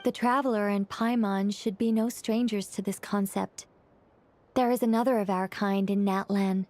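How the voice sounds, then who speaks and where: A woman playing a gentle female angel in an anime game, polite and formal but easily embarrassed. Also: mid-range voice, steady tone, moderate pace, natural voice. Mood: earnest, composed.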